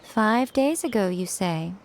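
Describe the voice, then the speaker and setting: A woman playing a poised, sly shrine priestess in an anime game, speaking with unhurried, amused airiness. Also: mid-range voice, animated, slight background noise. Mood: nonchalant, musing.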